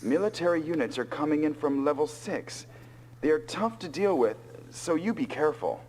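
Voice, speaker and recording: A man's voice: fairly high pitch, expressive, normal speed, darkish tone, slight background noise, lightly acted. An actor playing a big, easygoing male soldier pilot in an anime-style English video game dub.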